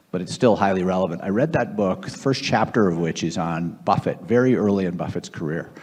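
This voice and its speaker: A man in his fifties from Boston with an American accent, telling his own story as an interview guest in a measured, reflective way. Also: sits low, a little mellow, slightly rough. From Boston area, United States. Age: 50s. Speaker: guest, investor. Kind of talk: conversational personal storytelling on stage. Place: large event room at a gathering. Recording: echoey hall with long reverb.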